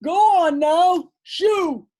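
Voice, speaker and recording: A woman voicing outraged people from a children's storybook, shouting and shooing. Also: extremely high pitch, extremely slow, pitched above the performer's own voice, heavily acted. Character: outraged crowd of people shouting a dog away. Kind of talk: children's storybook read-aloud.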